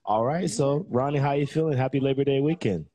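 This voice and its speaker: A man hosting a podcast interview, relaxed and encouraging with easy back-and-forth chat. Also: medium pitch, a little fast, a crowd in the background. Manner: relaxed, encouraging, says "that's what's up". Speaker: podcast host. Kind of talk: casual interview.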